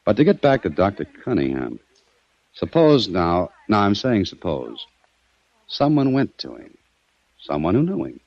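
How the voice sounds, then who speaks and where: A very low-voiced, shrewd investigator from a vintage radio crime drama. He is commanding and sardonic, swinging widely in pitch as he needles his man. The old recording is dull and dry. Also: huge pitch swings, unhurried, long pauses, dry recording, full character voice. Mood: coolly accusing. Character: shrewd, cool-headed male investigator baiting a murder suspect.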